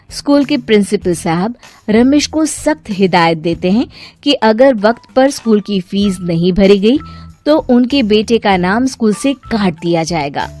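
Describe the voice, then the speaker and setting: A woman's voice: mid-range voice, a little mellow. A woman narrator reading a scripted story.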